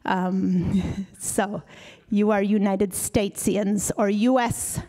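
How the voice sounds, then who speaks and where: A middle-aged woman from Argentina answering reflectively as a guest lecturer, earnest and measured, into a handheld microphone on an auditorium stage. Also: medium pitch, fairly even intonation, mellow.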